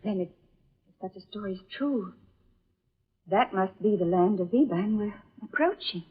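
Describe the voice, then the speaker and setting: A woman's voice: mid-range voice, expressive, normal speed, breathy edge. An actress playing an anxious, hesitant young woman stranded at sea in an old-time radio drama. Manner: hesitant, fretful. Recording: muffled, tinny vintage radio recording.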